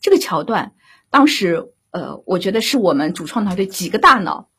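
Female director as panel guest. Strongly husky, expressive intonation, conversational explaining with frequent checking questions. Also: lowish voice, normal speed. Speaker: radio drama director and guest.

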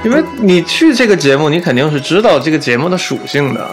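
A man's voice: on the high side, big pitch swings, fast. A man co-hosting a casual music podcast, chatting informally. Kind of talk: casual chat.

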